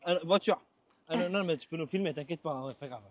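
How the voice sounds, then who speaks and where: 20s guy asking questions, pretty high voice, jokes around and rambles, walking outside. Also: fast, no room sound. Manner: joking, rambling, repeats himself. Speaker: interviewer. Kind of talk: casual joking interview.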